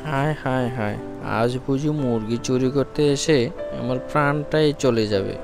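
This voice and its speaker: A sly, boastful cartoon fox acted out by a man with a slow, drawn-out delivery and medium pitch. Music plays under the voice. The manner is self-important, like a schemer very pleased with himself. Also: close to an everyday voice. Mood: wailing, self-pitying. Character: boastful, sly male fox chicken thief. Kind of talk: cartoon fable character voice.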